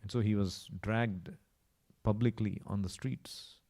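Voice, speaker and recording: A man's voice: on the low side, steady tone, a little slow, mellow. Indian male preacher, emphatic storyteller. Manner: emphatic, repetitive, rhetorical questions. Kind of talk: sermon with storytelling.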